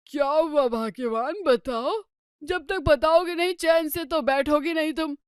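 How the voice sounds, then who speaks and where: A woman's voice: high-pitched, unhurried, no room sound, studio quality. A woman playing an unfazed, dismissive middle-aged husband and father in a comedy story, speaking in a nonchalant manner. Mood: mildly curious. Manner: nonchalant, shrugging off everything. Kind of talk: Hindi comedy story dramatisation.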